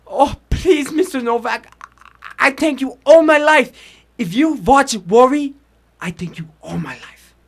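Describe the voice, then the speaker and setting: High-voiced, timid old man. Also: expressive, full character voice. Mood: anxious pleading. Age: older. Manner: halting, hesitant, pleading. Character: timid, anxious old immigrant fight manager, pleading and humble. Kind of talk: 1940s radio acting.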